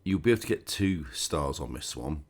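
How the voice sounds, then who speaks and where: A man hosting a gaming channel, croaky and stuffed up with a cold, chatting casually through a game walkthrough with sarcastic asides. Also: a bass voice, expressive, moderate pace.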